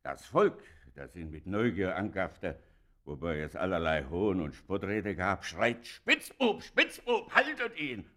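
An actor playing an old, suspicious, servile town gatekeeper in a German radio play, talking in a folksy, excitable, deferential way. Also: male voice, deep voice, measured pace, heavily acted. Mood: excited, indignant.

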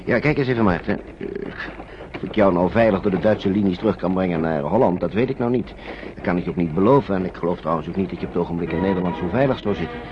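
A man acts out a kindly, protective guardian in an old radio play, coaxing children in a halting, avuncular way. His speech is quick and fairly low. The recording is dull, with clear noise behind him. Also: close to an everyday voice. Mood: reassuring. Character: kindly, protective man shepherding stray war refugee children. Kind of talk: radio drama dialogue.

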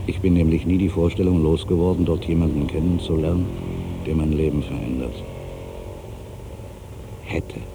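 Man acting very deep, gravelly ageing writer, brooding. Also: fairly even intonation, background noise. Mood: wistful. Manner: brooding, deliberate, with pensive pauses. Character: ageing male writer, solitary, brooding, wistfully revisiting his past. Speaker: monologue performer. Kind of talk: literary dramatic monologue, reflective first-person narration.

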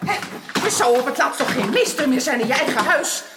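An actress playing an irritable, domineering married sister in a period radio drama, scolding imperiously. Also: female voice, sits high, animated, a little fast. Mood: furious, scolding. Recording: noisy, echoing old radio recording.

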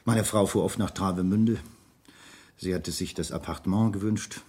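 A man's voice: low voice, steady tone, normal speed, a little mellow, breathy edge. An actor playing a reserved, well-off married businessman with a formal, guarded way of talking in a 1970s German radio crime drama. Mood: subdued, guarded. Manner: formal, guarded, clipped answers. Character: reserved, well-off married Hamburg property broker under questioning.

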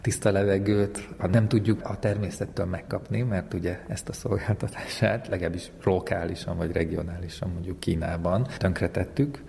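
A man speaks as an academic expert in an interview, with a low, gravelly voice. His tone is mellow and reflective, and the sound carries a long hall reverb. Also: slight background noise. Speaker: university economics lecturer, interviewed expert. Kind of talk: explanatory interview talk.

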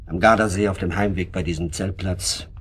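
A man's voice: low voice, steady tone, fairly quick, fairly bright tone, breathy edge. A man acting in a radio drama as a pompous, evasive husband, wordy and stiffly polite. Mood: awkward self-justifying. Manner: stilted, circumlocutory.

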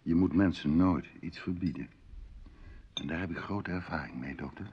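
An actor in a Dutch radio drama playing an intrusive, flattering musician who is a dinner guest with secret desires. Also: male voice, low voice, normal speed. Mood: insinuating. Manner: flattering, florid, provocative. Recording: muffled, noisy old radio play recording.

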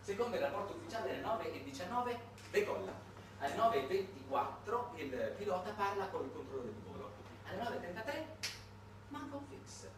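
Male theatrical storyteller, very echoey room, distant mic, emphatic delivery, dramatic pauses, repeated phrases. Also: high voice, very animated. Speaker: stage monologue performer. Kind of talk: theatrical narrative monologue, conversational storytelling. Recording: echoey, noisy room recording from afar.

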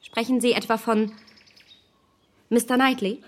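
A woman playing a self-assured young gentlewoman in a radio drama, formal and emphatic, shaken but keeping control. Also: fairly high pitch, fairly even intonation, a little slow, lightly acted. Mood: controlled, insistent. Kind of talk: German radio drama acting.

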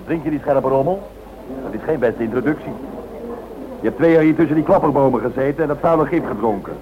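An actor playing a blunt, bossy airline director in a radio play, curt and scolding. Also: male voice, mid-range voice, quick pace, crowd noise, natural voice. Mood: gruff, scornful. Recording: tinny band-limited old radio recording, hiss.

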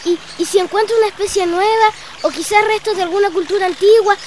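An excitable, eager little boy with a Chilean accent, talking in slangy bursts in a drama. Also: extremely high pitch, fast, background noise. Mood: excited, eager. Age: child.

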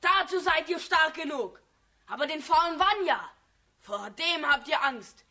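Cheeky young boy for children's radio drama: high, sharp, jeering. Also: animated, unhurried, full character voice. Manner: jeering, singsong. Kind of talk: German children's radio play acting.

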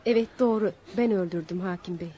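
A woman's voice: medium pitch, quick pace, warm tone, husky, natural voice. An actress playing a remorseful, lovestruck female swindler in a melodramatic radio play, speaking in a wistful, formal way. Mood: resigned. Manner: wistful, dramatic, formal phrasing. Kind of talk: melodramatic radio theatre acting. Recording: dull lo-fi radio drama recording.

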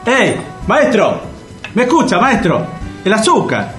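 An actor playing a grumbling, easily flustered neighborhood café regular in a comic radio play, with a Buenos Aires accent and slangy talk. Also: male voice, sits high, measured pace, reverberant, faint music behind, exaggerated voice. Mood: exasperated. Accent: Buenos Aires Argentine. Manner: grumbling, excitable, colloquial slang.

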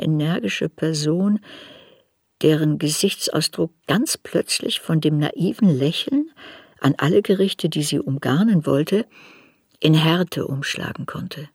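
A woman reading scripted literary narration for a radio play in a measured, reflective way. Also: low-pitched, vocal fry, a little mellow, breathy edge, dry recording, clean studio sound.

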